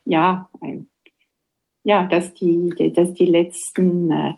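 A woman from Belgium, a professor and radio guest, speaking in a halting way as she searches for words. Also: on the low side, little pitch movement, warm tone. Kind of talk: radio discussion of scripture.

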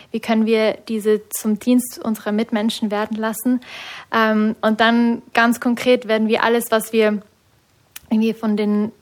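A young woman from Switzerland with a Swiss German accent, a native Swiss German speaker, answering as a student guest in a radio interview. She talks hesitantly and nervously, with many fillers. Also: a little high. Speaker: guest, discipleship school student.

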